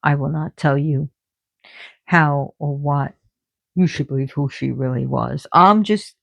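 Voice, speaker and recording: A woman talks slowly and unhurriedly about myths and oracle cards, often halting and restarting as she searches for words. Her voice has a gravelly, fry-edged texture. The sound is close and dry, with no room around it. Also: sits low, fairly bright tone, no echo, dull audio. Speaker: oracle card reader. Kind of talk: casual spiritual talk about mythology.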